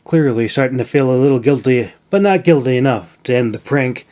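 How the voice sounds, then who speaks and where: A man narrates a fantasy novel as its author. His voice has a middling pitch, and he delivers scripted storytelling prose. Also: fairly quick, dark tone.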